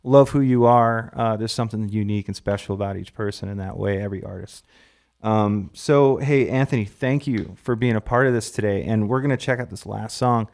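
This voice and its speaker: A man's voice: on the low side, a little fast, creaky, darkish tone. A man hosting a podcast, asking a guest questions in a supportive, casual way with lots of small affirmations. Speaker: podcast host. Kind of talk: casual interview chat.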